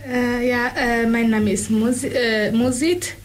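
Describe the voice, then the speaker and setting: Hesitant young woman, high voice. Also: fairly even intonation, measured pace, slight background noise. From Eritrea. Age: 20s. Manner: hesitant, stumbling start. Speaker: interview guest.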